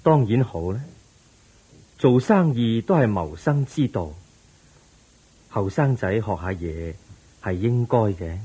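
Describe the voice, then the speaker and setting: An actor playing the Buddha as a calm, patient teacher in a radio drama, measured and instructive, with a Hong Kong Cantonese accent. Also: male voice, fairly low pitch, breathy edge, exaggerated voice, low-quality recording.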